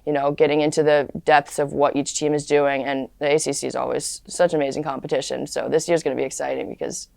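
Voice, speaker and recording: Low flat gravelly woman athlete talking about her team, dry close sound. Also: fast, few pauses, no echo. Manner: earnest, casual with fillers. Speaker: college lacrosse player. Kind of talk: interview answers.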